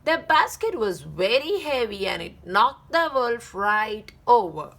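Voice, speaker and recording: A woman hosting a read-aloud, narrating a children's story deliberately with drawn-out phrase endings. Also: a little high, very animated, gravelly, bright, forceful tone. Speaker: read-aloud channel host.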